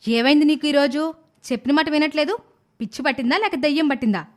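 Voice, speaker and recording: Woman playing a high-voiced young mother. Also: fast, natural voice. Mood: angry. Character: caring young mother and wife, easily exasperated. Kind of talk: animated moral story dialogue.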